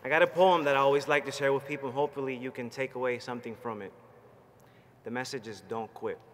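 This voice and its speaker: A man from Puerto Rico giving an energetic, rallying and personal ceremony speech at a podium microphone. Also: medium pitch, quick pace, noisy background. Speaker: student commencement speaker. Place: large commencement hall. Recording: reverberant hall with faint crowd ambience.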